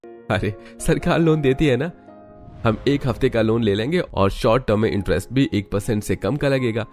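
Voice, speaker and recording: A man's voice: mid-range voice, moderate pace, a little mellow, soft background music, pitched above the performer's own voice, lightly acted. A man voicing an eager, confident young businessman son in a cartoon story, explaining things keenly.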